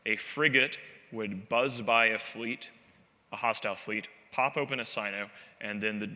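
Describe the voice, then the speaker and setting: A man gives a live conference talk in a large hall, telling anecdotes with a wry, self-deprecating edge. His voice sits on the low side but sounds very bright and sharp. The stage microphone picks up the long reverb of the room. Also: moderate pace. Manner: animated, wry storytelling with self-deprecating asides. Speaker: conference speaker.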